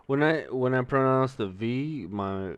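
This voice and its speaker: A man's voice: medium pitch, animated, unhurried, smooth, no room sound, dull audio. A young man with a Mexican-American accent, casually swearing and thinking aloud as he works through coding exercises on a stream. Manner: profane, rambling, thinks aloud while typing. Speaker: streamer learning to code.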